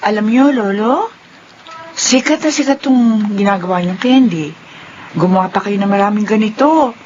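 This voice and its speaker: A woman's voice: mid-range voice, normal speed, a little mellow, natural voice. An actress playing a kindly, concerned younger woman customer in a Filipino radio drama, polite and friendly. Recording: dull, slightly noisy radio recording.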